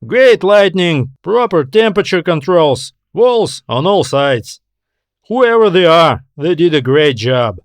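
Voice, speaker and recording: A man's voice: on the high side, big pitch swings, slow, no echo, studio quality, voice pushed up. A man voicing a breezy, sardonic castaway man with casual, wry, slangy talk in an audiobook story. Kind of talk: audiobook character dialogue.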